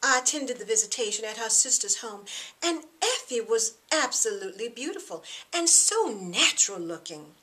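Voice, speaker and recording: A woman's voice: a little high, big pitch swings, moderate pace, pushed and bright, voice pushed up. A woman voicing a gushing, chatty middle-aged mother and gracious lady of the house in an audiobook. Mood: gushing, sociable.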